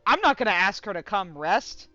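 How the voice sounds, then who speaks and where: A woman streamer giving casual, giggly, excitable and profane live commentary on a game. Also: mid-range voice, normal speed, no room sound, muffled recording, faint music behind.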